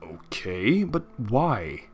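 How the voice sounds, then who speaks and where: A man playing an exasperated, sarcastic young man in a story reading, sharp and incredulous. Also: fairly low pitch, big pitch swings, very slow, a little hiss or hum, heavily acted. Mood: wry, questioning.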